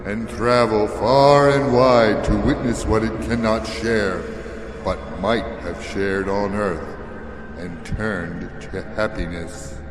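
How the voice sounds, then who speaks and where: An actor in a radio play playing the mournful, reproachful ghost of a dead businessman, with drawn-out, wailing intonation. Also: on the low side, steady tone. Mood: grave, reproachful. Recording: long echoing reverb with music behind.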